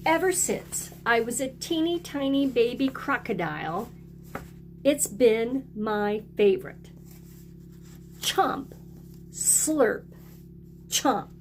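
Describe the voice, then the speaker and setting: Woman acting a melodramatic storybook crocodile, exaggerated and drawn-out. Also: on the high side, lively intonation, faint music behind. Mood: gleeful, relishing. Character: melodramatic young crocodile who adores watermelon.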